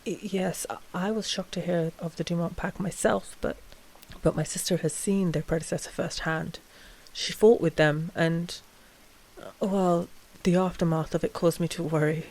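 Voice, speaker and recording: A woman playing a polite, frazzled vampire coven leader in an audio roleplay, speaking formally with halting hesitations. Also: fairly low pitch, fairly even intonation, darkish tone, poor audio. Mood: grave, uneasy. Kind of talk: scripted audio roleplay drama.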